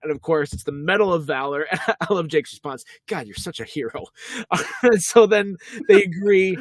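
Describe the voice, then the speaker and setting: A man co-hosting a casual podcast about a TV episode, animated and slipping into quick character voices as he retells scenes. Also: fairly high pitch, dry recording, studio quality. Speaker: podcast co-host.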